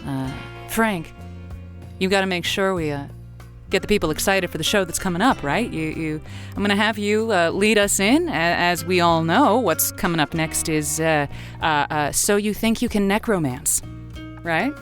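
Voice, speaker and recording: Actress as a flustered late-night radio host, stammering and waffling, faint music underneath. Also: female voice, fairly low pitch, normal speed, natural voice. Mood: exasperated. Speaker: radio advice show host. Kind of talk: audio comedy drama.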